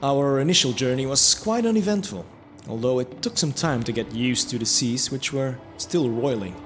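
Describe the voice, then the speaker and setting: A man's voice: medium pitch, animated, normal speed. A man narrating a scripted fantasy audio drama in the first person, reflective, with wry asides. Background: music under the voice.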